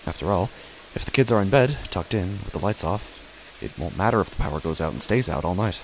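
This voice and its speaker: A low, gravelly male actor as a father tells his own horror story in an audio drama. He is uneasy and brooding, with halting phrases. Noticeable ambient noise sits behind his voice. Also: expressive, rough recording. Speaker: narrator and lead character of a horror audio drama. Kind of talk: first-person horror audio drama narration.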